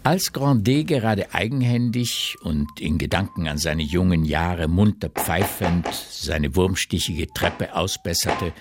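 A man's voice: deep voice, a little slow, hardly any pauses, a little hiss or hum. A man narrating a scripted literary radio drama.

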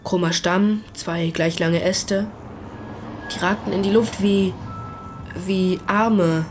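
A woman playing a hesitant, secretive schoolboy giving an account in a naturalistic radio drama, halting and defensive. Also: medium pitch, fairly even intonation, noisy background, close to an everyday voice. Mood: hesitant recounting. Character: schoolboy Tobias, hesitant, earnest, secretive witness.

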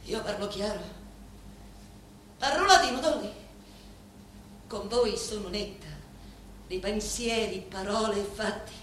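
A grieving yet composed Sicilian woman, acted by a female stage performer speaks slowly and solemnly to a mob boss. Each phrase is weighed and stressed in the manner of a theatrical radio drama. The room rings with echo, over faint background noise. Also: medium pitch, fairly bright tone, natural voice. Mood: solemn, firm.

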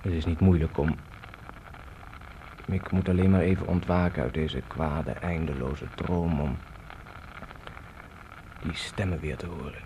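Weary Spanish dreamer, low voice, brooding, halting, theatrical, radio play, noisy recording. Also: male voice, steady tone, dark tone. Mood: dreamy, haunted. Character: weary Spanish man with a guitar, dreamily haunted by memories. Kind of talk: radio drama acting.

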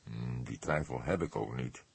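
Man voicing a very deep, husky old detective. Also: heavily acted. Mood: thoughtful, doubtful. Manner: hesitant, deliberate, measured. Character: old, weary, thoughtful, unhurried male police detective.

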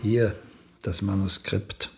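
An actor playing a proud, celebrated old playwright in a radio play, blunt, sardonic and dismissive. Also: male voice, lowish voice, level tone, takes it slowly, vocal fry, loud music behind the voice. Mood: wry, musing. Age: older.

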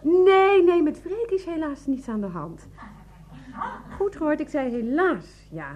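An actress playing a sarcastic, exasperated Dutch wife who is quick to rage, in a radio drama. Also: female voice, sits high, very animated, narrow, tinny audio, noisy background. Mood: exasperated sarcasm.

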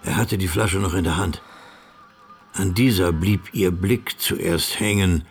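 A man reading scripted literary narration for a radio drama in a measured, grave way, with music underneath. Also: low voice.

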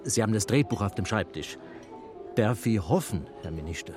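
Actor as proud filmmaker, low, animated voice. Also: male voice, fairly quick, over music, natural voice. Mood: enthusiastic pitching, then eager, deferential. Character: ambitious, proud, evasive mountain-born male filmmaker. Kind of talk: German radio play acting.

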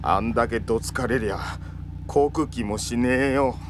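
A man's voice: medium pitch, normal speed, noisy background, lightly acted. A man playing a surly small-time crook and informant in a Japanese audio drama, speaking in grudging slang. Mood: sullen, grudging. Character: surly, sullen male crook turned informant.

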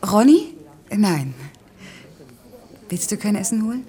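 An actress playing a headstrong, defensive working-class woman in a naturalistic radio play, curt and dryly self-mocking, with a husky voice. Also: medium pitch, sing-song, takes it slowly, dark tone. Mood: evasive, casual.

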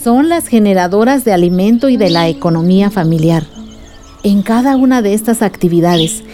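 A woman's voice: mid-range voice, expressive, moderate pace, over music, natural voice. An actress playing an adult village woman, a patient, earnest activist recounting memories in a radio drama. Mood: recalling, earnest. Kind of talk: radio drama dialogue and narration.

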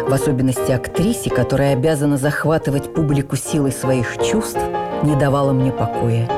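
A woman with a fairly low voice performs a literary memoir for radio. Her phrasing is theatrical and expressive, in the way of a stage actress telling her own story. Music plays clearly beneath her narration. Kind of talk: dramatic first-person literary narration for radio. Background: music under the voice.

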